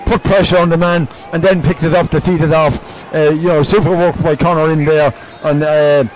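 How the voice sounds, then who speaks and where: A man's voice: a little high, level tone, moderate pace, rough recording. An Irish man giving conversational analysis as co-commentator at a live Gaelic football match. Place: outdoor stadium stand. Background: light crowd noise.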